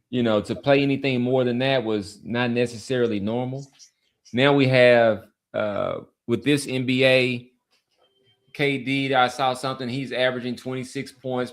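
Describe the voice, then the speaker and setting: Deliberate man talking sports on a podcast. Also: mid-range voice. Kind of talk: casual sports discussion.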